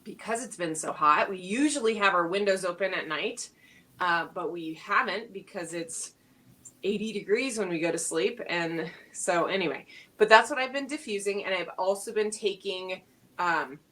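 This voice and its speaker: A woman's voice: mid-range voice, bright, forceful tone, far from the mic. A middle-aged woman co-hosting a casual wellness livestream, bubbly and laughing often as she talks with many fillers. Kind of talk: casual chat.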